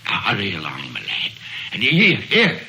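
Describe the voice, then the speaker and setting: An actor playing an excitable, repentant elderly miser in 1930s radio drama style, theatrical and stammering over repeated words. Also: male voice, fairly low pitch, normal speed, vocal fry, a little hiss or hum, exaggerated voice, poor audio. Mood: giddy delight. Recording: old radio broadcast with music behind.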